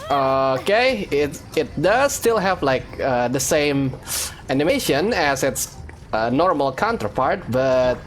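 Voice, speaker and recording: Casting: casual male gaming host, animated pitch, leisurely pace, muttering reactions to himself. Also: slow pace, a little hiss or hum. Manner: reacts aloud, talks to himself. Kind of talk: casual let's play commentary. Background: faint game music.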